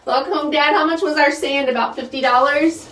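A woman's voice: high-pitched, fairly even intonation, moderate pace, fairly bright tone. Middle-aged female homestead vlogger, folksy and rambling, off-mic in a small wooden space with noticeable noise. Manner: folksy, chatty, rambling while working. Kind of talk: casual chat to viewers during chores. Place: small wooden chicken coop. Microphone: phone set up a few feet away. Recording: roomy, distant mic with clear background noise.

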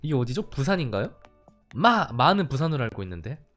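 A man's voice: mid-range voice, very animated, fairly quick, a bit bright, faint music behind. A young man casually commenting while playing a cozy life game, playful and reactive, chatting to his viewers. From standard-Korean-speaking region of Korea. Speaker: game streamer.

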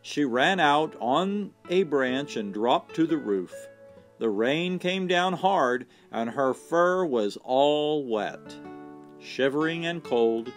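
Unhurried, animated male storyteller. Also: on the high side. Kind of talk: children's storybook read-aloud. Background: soft guitar music.

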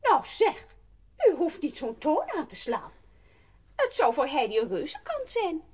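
A woman's voice: high-pitched, wildly swooping pitch, unhurried, darkish tone, full character voice. An actress playing a haughty, pushy city lady in a radio play, chattering and insistent. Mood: indignant, pushy. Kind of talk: Dutch radio play acting.

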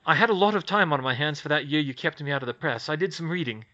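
Dude doing a smug middle-aged lady reporter, pushy, bright and bouncy. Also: a little high, expressive, fast, dull audio. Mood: cool. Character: smug, scheming middle-aged woman gossip journalist.

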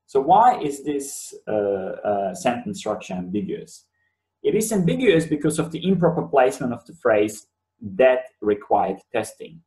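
A man's voice: mid-range voice, sing-song, a little slow, mellow. Bosnian-native man lecturing in a roomy space. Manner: explanatory, repeats words for emphasis. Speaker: university lecturer. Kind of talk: webinar lecture. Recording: roomy sound, mic at a distance.

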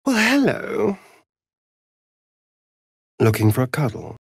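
A sly vampire rogue from a fantasy video game, speaking. His delivery is theatrical and sardonic, swinging widely in pitch as he draws out his words unhurriedly. The voice has a husky edge. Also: wildly swooping pitch, takes it slowly, no echo, clean studio sound, heavily acted. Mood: coy, teasing. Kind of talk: video game character voice lines.

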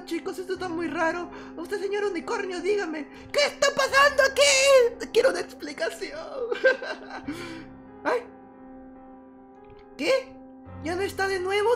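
A woman voicing a jumpy, easily frightened young character who squeals and cries out constantly, then speaks to viewers at the end. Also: very high-pitched. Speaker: channel character addressing viewers. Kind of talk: comic horror skit.

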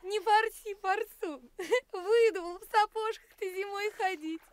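An actress playing a brisk, guileless young village laundress in a radio play, teasing and chiding in colloquial rural speech. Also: female voice, extremely high pitch. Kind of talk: Soviet radio play acting.

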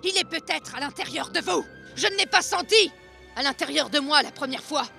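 A woman's voice: sits high, a little fast, gravelly, sharp tone, voice pushed up, full character voice. Sinister messenger priest for a satirical fable played by a woman: grave preacher, ominous, persuasive, theatrical, music underneath. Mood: dramatic confession.

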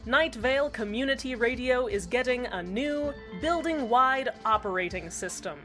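A woman playing an eerie small-town community radio host in a scripted fiction podcast, deadpan and measured, with deliberate pauses. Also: fairly high pitch, natural voice. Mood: uneasily upbeat. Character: eerily cheerful female small-town community radio host, uneasily upbeat. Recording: music under the voice. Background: background music.

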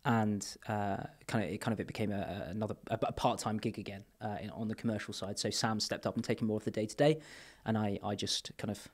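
A man's voice: lowish voice, steady tone, fast. Rambling man in a podcast studio. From England. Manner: rambling, many restarts and fillers, trailing off quietly. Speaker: podcast guest. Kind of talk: casual interview chat. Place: podcast studio with brick wall. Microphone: boom mic on arm.

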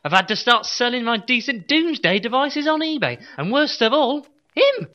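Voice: medium pitch, big pitch swings, moderate pace, muffled recording, close to an everyday voice. An actor playing a weary, self-pitying evil-genius doctor in a comedy audio drama, grumbling with resigned melodrama.

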